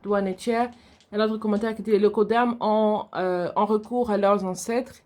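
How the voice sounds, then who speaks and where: Give me a woman moderator with a measured voice reading viewer comments aloud. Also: medium pitch, fairly even intonation, mellow. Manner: plain, measured questioning with fillers. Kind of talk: live stream moderation.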